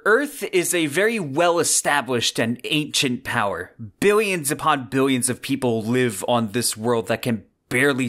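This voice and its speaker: Male podcast host, mid-range voice. Earnest, measured explanatory narration, deliberate phrasing, slightly conversational. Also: medium pitch, a bit bright.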